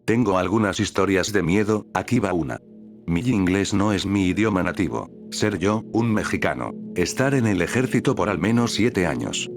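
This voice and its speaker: Male horror narrator, very deep voice, steady and measured, music bed underneath. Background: background music.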